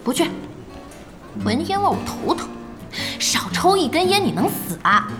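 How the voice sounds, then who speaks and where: A high, very animated voice of a feisty young woman running an internet cafe. She is blunt and brash, scolding and exclaiming in audio drama style, with music behind her. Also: moderate pace, heavily acted. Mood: brash surprise. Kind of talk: Chinese audio drama acting.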